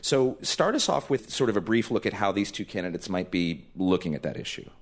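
A man with a low-pitched voice hosts a panel discussion at a live event. He speaks as a moderator, introducing guests and putting questions to them. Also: animated, a little fast. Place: live event space before an audience.

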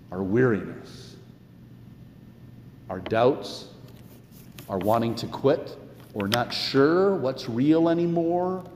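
A male pastor delivering a sermon in an earnest, emphatic way, with deliberate pauses and repeated phrases. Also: mid-range voice, a little mellow, slight background noise. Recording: echoey, reverberant church sound.

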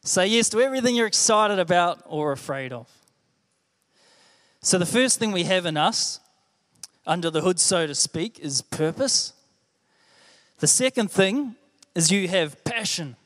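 High-voiced New Zealand preacher in an echoey hall. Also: male voice, expressive, measured pace, long pauses, fairly bright tone. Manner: earnest, conversational, repeats phrases. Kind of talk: sermon. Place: church hall.

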